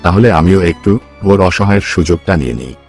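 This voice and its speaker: Cartoon village headman, played by a man: low-pitched, stingy, talks down to the needy. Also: quick pace, a little mellow, faint music behind, natural voice. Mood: calculating, curt. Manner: curt, condescending. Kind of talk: Bengali cartoon story dialogue.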